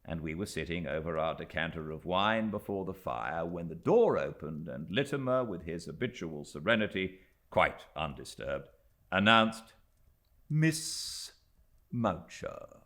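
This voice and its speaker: A British man narrating classic fiction in an expressive, deliberate way, with dramatic pauses. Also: deep voice. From Britain. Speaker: audiobook narrator. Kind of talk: scripted literary narration.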